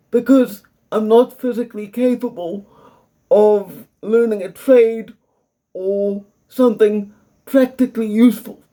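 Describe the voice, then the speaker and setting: A young man speaks with a South African English accent in a personal video monologue. His voice sits high yet sounds dark and mellow. He talks slowly and earnestly, laying out his points with care. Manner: measured and earnest, carefully explaining. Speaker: vlogger.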